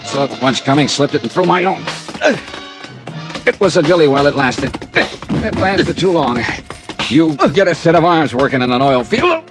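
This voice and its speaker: An actor playing a wisecracking, hard-boiled insurance investigator with an American accent, wry and clipped, in an old-time radio detective drama. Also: male voice, fairly high pitch, expressive, normal speed, over music, natural voice. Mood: wry narrating. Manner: wry, clipped, tough-talking.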